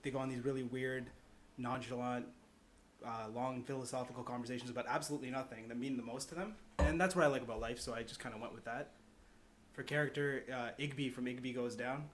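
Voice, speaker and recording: A man's voice: mid-range voice, steady tone, quick pace. A man chatting casually as a podcast guest, explaining his ideas in a rambling, conversational way. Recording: clear background noise.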